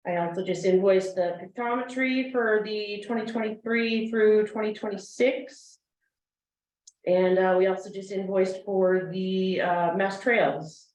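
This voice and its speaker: A woman reads through a finance report at a meeting, halting often with fillers. She sounds set back from the microphone, and the room rings with echo. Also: medium pitch, fairly even intonation, a little mellow. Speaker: finance officer giving report. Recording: echoey room, far from mic.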